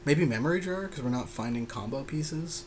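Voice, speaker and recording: Brief: mid-pitched man, laid-back podcast co-host, faint hum in the background. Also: animated, normal speed. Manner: short agreeing replies and suggestions. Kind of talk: casual chat about deckbuilding.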